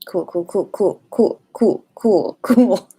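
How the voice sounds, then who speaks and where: A woman's voice: medium pitch, slow pace, dark tone, breathy edge. A woman hosting a casual livestream in a playful, informal way, sometimes sing-song and casually sweary. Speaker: livestream host.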